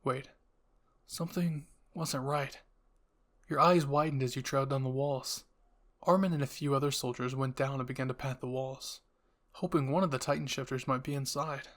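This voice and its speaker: A man's voice: medium pitch, fairly even intonation, normal speed. A man reading scripted fan fiction as a narrator and channel host.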